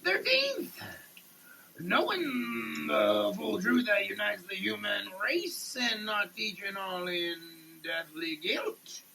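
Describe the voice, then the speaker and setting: A performer giving a halting, theatrical, declamatory dramatic reading in a running shower. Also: medium pitch, expressive, creaky, pushed and bright, slight background noise, poor audio. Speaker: solo sketch performer. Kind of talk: dramatic reading of a product label. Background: running water.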